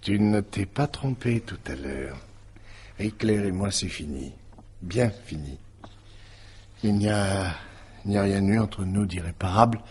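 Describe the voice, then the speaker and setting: An actor playing a bitter, wounded man turning coldly sardonic in a radio mystery drama, with halting, repetitive phrasing and a raspy, breathy voice. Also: on the low side, a little mellow. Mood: resigned, confronting. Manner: halting, sardonic, repeats words.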